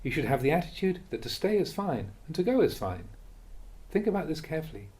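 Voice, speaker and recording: Male monk, dharma reading, mellow tone, lively intonation, measured and deliberate delivery. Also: on the high side. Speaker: Buddhist monk reading teachings aloud. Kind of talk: read-aloud dharma talk.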